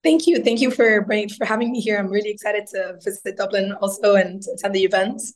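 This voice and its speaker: This is a woman, giving interview answers in a steady, even tone that barely rises or falls. Her pitch sits in an ordinary middle range. She explains things earnestly, step by step. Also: fast talker. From Egypt. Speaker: guest astronaut.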